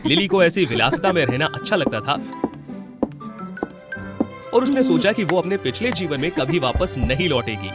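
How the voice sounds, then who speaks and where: A male narrator telling a fairy tale in a fairly high voice that swings up and down expressively. Loud music plays underneath his scripted storytelling. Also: very animated, normal speed, long pauses.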